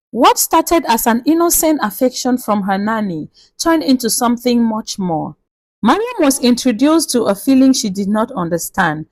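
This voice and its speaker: A woman reading a scripted story as narrator, in a measured, dramatic storytelling way. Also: medium pitch, no room sound.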